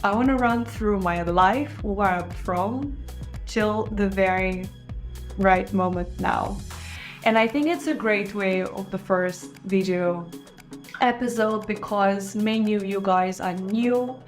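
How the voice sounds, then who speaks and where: A young woman with a foreign-accented English hosts her podcast, telling her own story in a candid, unhurried way. Her pitch sits mid-range, and soft laughs slip in. A little music plays faintly behind her. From Israel. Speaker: podcast host. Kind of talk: casual personal monologue to camera.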